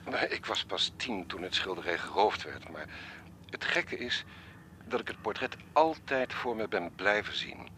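An actor playing an elderly, courteous, wistful art collector in a radio drama, speaking in a formal, measured, reflective way. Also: male voice, low-pitched, very animated, pushed and bright, slight background noise, heavily acted. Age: 70s or older.

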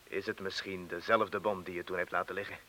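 An actor in a radio drama playing an apologetic, probing workmate who questions hesitantly. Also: male voice, low voice, level tone, fairly quick, bright, forceful tone. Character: bomb disposal man's probing, apologetic male colleague.

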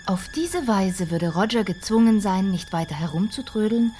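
A woman's voice: mid-range voice, expressive, normal speed, warm tone, faint music behind, lightly acted. A woman narrating a fairy tale for a radio drama, precise and correcting in her scripted delivery.

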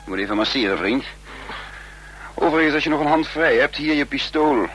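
An actor playing a shrewd, commanding operative in a radio drama, sardonic and controlled, with formal literary wording. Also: male voice, fairly high pitch, animated, fairly quick, a bit bright. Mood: brisk, commanding. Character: shrewd commanding man, a cool seasoned operative who narrates. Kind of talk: radio drama acting and narration. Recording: noisy, humming old radio drama recording.

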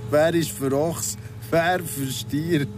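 A man's voice: a little high, steady tone, drawn-out words, noisy background. An actor playing a needling, falsely courteous ex-convict in his thirties in a radio drama, speaking with a Swiss German accent, mock-polite and insistent. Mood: playful probing. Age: 30s.

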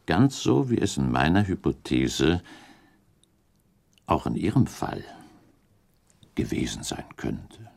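An actor playing a shrewd, calculating count in a radio drama, speaking in a deliberate, formal and pointed way. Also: male voice, rumbling low, huge pitch swings, vocal fry, a little mellow, slightly rough, full character voice. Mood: insinuating. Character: shrewd, calculating count advising a prince, diplomatic. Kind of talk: German radio drama acting.

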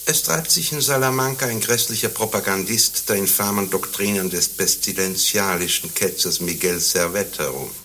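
Stern Inquisition official, lowish forceful voice, ornate indignant phrasing, radio drama, faint background noise. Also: male voice, moderate pace, few pauses. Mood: stern, officious. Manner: formal, indignant, ornate speech. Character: stern, pompous male Inquisition inspector hunting heretics. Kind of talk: satirical radio play acting.

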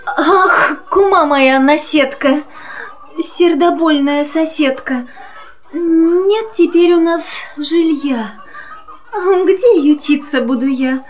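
A woman's voice: sits high, slow pace, slight background noise. A woman playing a genteel, homeless cat who pleads and coaxes theatrically in a children's verse audio play. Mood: pleading. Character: genteel, homeless female cat, plaintive and coaxing.

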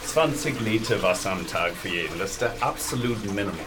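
A man's voice: on the low side, lively intonation, brisk, close to an everyday voice. Actor as a homeless man amid street noise. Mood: matter-of-fact. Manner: slightly broken German grammar, plain-spoken. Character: proud, easygoing homeless male sanitation worker in Detroit. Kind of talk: naturalistic radio drama, documentary interview. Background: outdoor urban ambience with vehicles.

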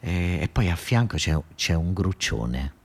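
Deep-voiced southern Italian male storyteller. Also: sing-song, breathy edge. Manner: conversational, repeats phrases for emphasis, halting restarts. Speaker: storyteller, monologue performer. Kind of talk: colloquial theatrical monologue storytelling for radio.

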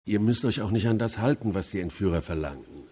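An actor playing a composed, matter-of-fact man in a radio play, speaking huskily and deliberately. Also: fairly low pitch, expressive, mellow, breathy edge. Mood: calmly explaining. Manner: deliberate, explanatory. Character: composed male family lawyer, measured and matter-of-fact.

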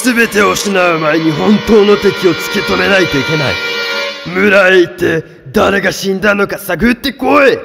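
A man voicing a gravelly bandit chief. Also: high voice, moderate pace. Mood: angry. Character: ruthless male bandit leader, commanding and cunning. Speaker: thieves' leader. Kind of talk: storybook voice acting. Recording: long reverb with music underneath.

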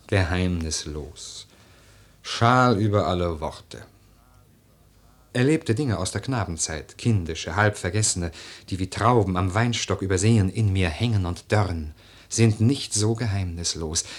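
A deep, husky young nobleman in mourning. He declaims lyrical radio-play verse slowly, with brooding intensity. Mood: intense, confiding. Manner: declamatory, lyrical, slow and deliberate. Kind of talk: theatrical German verse radio drama.

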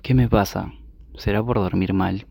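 A man's voice: lowish voice, fairly even intonation, a little slow, gravelly, mellow, breathy edge, no room sound, studio quality, heavily acted. A young man with an Argentine accent playing an anxious, tired university student in a student radio drama. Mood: weary, uneasy. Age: young adult. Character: anxious, weary nineteen-year-old male university student.

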